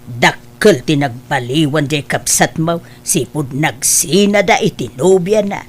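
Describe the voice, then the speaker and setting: A woman with an Ilocano accent playing a gentle, worried mother of grown children in an audio drama. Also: low-pitched, measured pace, a little hiss or hum. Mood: pleading.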